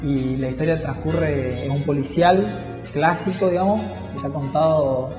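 A man's voice: fairly high pitch, measured pace, roomy sound, long reverb tail, over music. A young man, a filmmaker, talking about his work in an interview with many restarts and fillers. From Misiones, Argentina. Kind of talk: interview talk.

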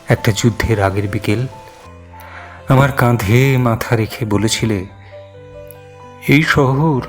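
A man reciting poetry in a solemn, sorrowful, dramatic way with lingering pauses. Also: on the low side. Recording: soft music and rain sound underneath. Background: music and falling rain.

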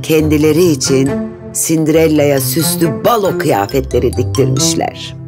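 A woman storyteller narrates a scripted fairy tale for children. Her voice is low. Instrumental music is clearly present behind the narration. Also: moderate pace.

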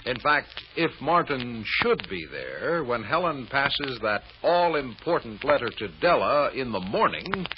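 A man's voice: medium pitch, big pitch swings, a little slow, hardly any pauses. A man narrating an old radio drama serial as its announcer, in a dramatic storytelling style. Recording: muffled, noisy old radio recording.

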